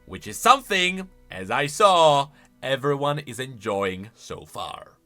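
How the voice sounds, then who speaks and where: A man giving casual, opinionated reaction commentary as a gaming lore commentator, in an animated manner. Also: medium pitch, measured pace, faint music behind.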